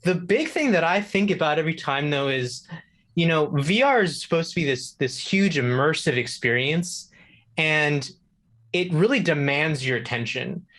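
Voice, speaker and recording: A man's voice: fairly high pitch, animated, normal speed, fairly bright tone. A man speaking as a show guest, explaining things casually and thinking aloud. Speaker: video game contributor, show guest.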